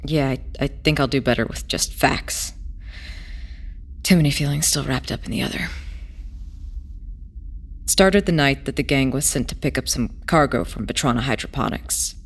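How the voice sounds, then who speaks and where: A woman playing a weary, idealistic former smuggler in an audio drama, telling their past in a hesitant, wistful way with pauses. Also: a bass voice, husky, full character voice. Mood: awkward, rueful. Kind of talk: audio drama acting, halting first-person testimony.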